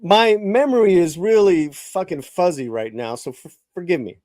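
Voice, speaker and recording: A man performing a dramatic live reading of his own first-person novel, swinging between hushed lines and emphatic ones, often restarting words. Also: sits high, normal speed, no echo, studio quality.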